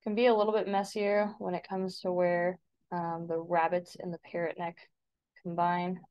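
A woman's voice: lowish voice, little pitch movement, moderate pace, highs cut off. Woman giving an informal step-by-step tutorial. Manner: informal, instructional. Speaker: instructor. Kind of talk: step-by-step software tutorial narration. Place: screen-recording voice-over.

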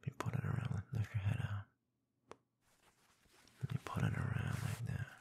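Man, intimate ASMR roleplay, low pitch, heavy vocal fry, husky half-whisper, unhurried teasing dominant murmur, close mic. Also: big pitch swings, a little mellow, slight background noise. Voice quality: breathy, husky, often whispered. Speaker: ASMR audio performer. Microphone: close binaural microphone.

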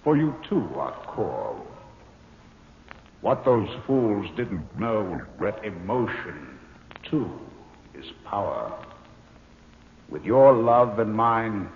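An actor playing a commanding alien male ruler in a 1950s radio drama, slow and grave. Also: on the low side, sing-song, slight background noise, exaggerated voice. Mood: tender. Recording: tinny old radio broadcast with hall echo.

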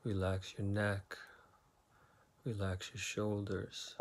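Male meditation guide, very slow delivery with long pauses, lowish pitch, soothing. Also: monotone, a little hiss or hum.

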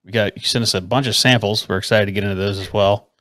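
A man with a lowish voice hosts a relaxed interview, asking friendly, easygoing questions. His voice is close and dry, picked up by a headset mic on a video call. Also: fast talker, no room sound. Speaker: podcast co-host. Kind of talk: casual interview chat.

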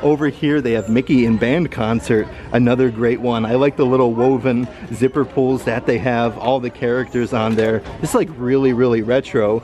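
A man's voice: mid-range voice, fairly even intonation, moderate pace, hardly any pauses, a little mellow. A man vlogging an upbeat shopping walkthrough in an outlet store, filming handheld and reading off prices. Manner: upbeat, enthusiastic, lists prices.

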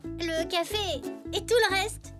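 A performer voicing a cheerful, helpful little boy zebra in an expressive, childlike way for a children's cartoon. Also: very high voice, slow pace, loud music behind the voice. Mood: eager.

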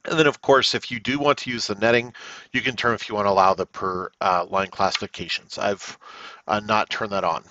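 Bright-voiced man presenting a software tutorial. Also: on the low side, fairly even intonation, fairly quick, dry recording, highs cut off. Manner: conversational, checks in with "okay?" and "all right?". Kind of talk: unscripted screen-share walkthrough, explanatory.

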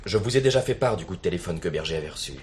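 An actor playing a stiff, coldly dutiful police superintendent in a French radio play, speaking in formal, measured officialese. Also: male voice, sits low, lively intonation, a little mellow, a little hiss or hum. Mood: deferential, firm.

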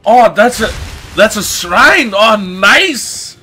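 A man hosts a casual let's play in a fairly high voice that swings up and down a lot. He takes his time, stretching out words, exclaiming and swearing as he goes. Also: expressive, slow, bright, forceful tone, husky, soft background music. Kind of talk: casual gameplay commentary.

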